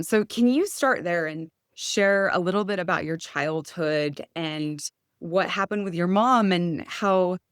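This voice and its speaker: A woman hosts an interview show in a mid-pitched voice. She phrases things carefully, as if from prepared notes, and sounds articulate. The recording is dry, with very little room around her. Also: a bit bright, no echo. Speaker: podcast host. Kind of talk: prepared introduction and interview questions.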